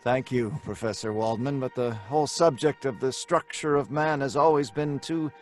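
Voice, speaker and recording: A man's voice: mid-range voice, normal speed, natural voice. An actor playing an earnest, obsessed young scientist telling his grim tale in an old-time radio drama style. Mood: earnest, affectionate. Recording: music under the voice.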